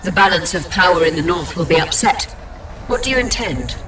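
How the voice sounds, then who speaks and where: An actress playing a stern, calculating sorceress of a secret lodge in a video game, speaking formally. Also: female voice, lowish voice, animated, fairly quick, lightly acted. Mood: guarded. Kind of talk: dramatic video game acting. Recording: distant voice, music behind.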